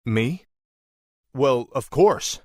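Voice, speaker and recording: An actor voicing a bold, hot-headed young warrior prince in a fantasy video game. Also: male voice, mid-range voice, lively intonation, drawn-out words, dry recording, clean studio sound. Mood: dutiful.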